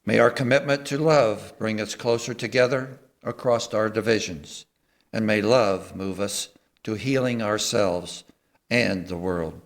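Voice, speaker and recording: Man delivering a measured church sermon. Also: on the low side, gravelly. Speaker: service speaker at a church. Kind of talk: sermon and liturgical reading.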